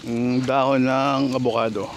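A man vlogging casually as a farmer on a walk-around, speaking off the cuff in short phrases with pauses. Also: medium pitch, flat delivery. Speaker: farm vlogger, goat farmer. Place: outdoors on a rural backyard goat farm. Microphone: handheld phone behind the camera. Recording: noisy outdoor phone recording, farm animals. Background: birds and animals, outdoor farm ambience.

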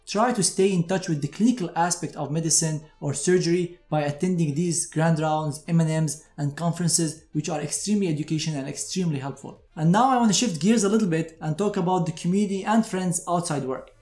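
Higher-voiced man explaining in a roomy space. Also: a little fast, few pauses, a little mellow. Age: 30s. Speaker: doctor presenting advice to medical graduates. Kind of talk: scripted explainer to camera. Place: indoor room with shelves, seated. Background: music.

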